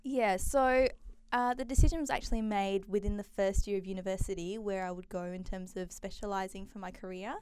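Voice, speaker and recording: A woman's voice: mid-range voice, fairly even intonation, fairly quick, darkish tone, clean tone, no room sound. A young woman from Malaysia, chatting as an interview guest, with frequent um and uh fillers. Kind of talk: casual interview conversation.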